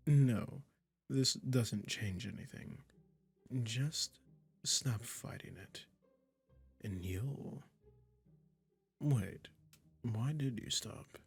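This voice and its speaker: A man's voice: medium pitch, husky. A man playing a possessive, sweetly menacing male witch in an intimate roleplay audio, coaxing and condescending as he speaks to the listener. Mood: firm, controlling. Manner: slow, coaxing, condescending pet-name talk, dropping into whispers.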